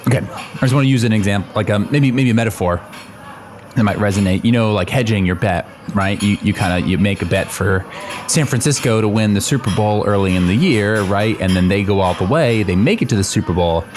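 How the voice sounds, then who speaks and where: A somewhat low male voice with slight background noise from an improv actor. He is a concerned office colleague in a naturalistic radio play. His delivery is halting, tactful and uncomfortable as he tries to reason. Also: lightly acted.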